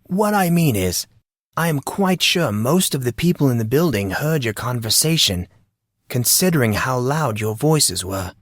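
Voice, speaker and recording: A man voicing a genteel, wry butler who speaks formally and with deadpan calm. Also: mid-range voice, measured pace, no echo, studio quality. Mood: amused, polite. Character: genteel male butler, polite, wry and unflappable.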